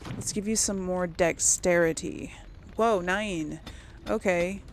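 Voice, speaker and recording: A woman's voice: fairly low pitch, slow pace, slight background noise. A woman hosting a casual game playthrough, thinking aloud in a hesitant, musing way. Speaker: gaming video host.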